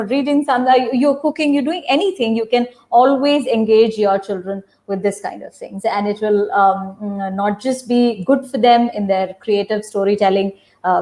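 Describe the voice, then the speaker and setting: A woman's voice: fairly high pitch, a little mellow. A woman theatre practitioner, a guest on a video-call webinar, explaining in an encouraging way. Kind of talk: casual webinar conversation.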